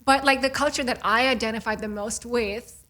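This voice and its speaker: A woman's voice: on the high side, fairly even intonation, brisk, bright, forceful tone. A woman from India, a guest in an interview, chatting in a rambling way with lots of fillers. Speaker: guest, actress and model. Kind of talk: casual interview chat.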